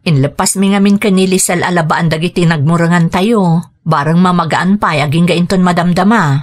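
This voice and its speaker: A woman's voice: on the low side, moderate pace, natural voice. A woman playing a patient, pleading wife and mother in an Ilocano radio drama. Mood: earnest, pleading.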